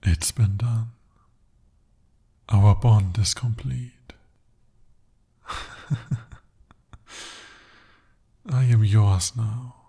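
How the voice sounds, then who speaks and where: A man voicing a devoted, possessive male demon in an ASMR romance roleplay, speaking softly and formally. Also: on the low side, fairly even intonation, extremely slow, creaky, pauses often, slightly rough, heavily acted. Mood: hushed. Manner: soft, intimate, formal speech. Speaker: voice actor.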